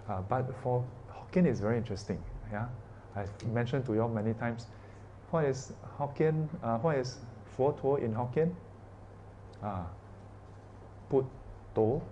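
Teacher, male, mellow, conversational, quizzes the room, slight noise under him. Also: medium pitch, moderate pace, muffled recording. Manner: chatty, asks the class questions, frequent "yeah" and "ah". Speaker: Buddhist teacher. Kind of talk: informal dharma class talk.

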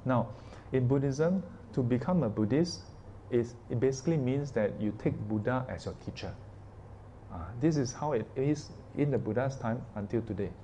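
Teacher, male, mellow, conversational, quizzes the room, slight noise under him. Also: medium pitch, expressive, moderate pace, muffled recording. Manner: chatty, asks the class questions, frequent "yeah" and "ah". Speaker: Buddhist teacher. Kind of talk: informal dharma class talk.

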